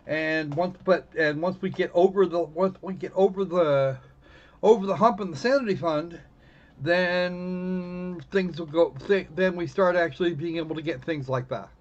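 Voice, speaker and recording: A man hosting a live game stream in casual chat, often halting and restarting his phrases. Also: high voice, highs cut off.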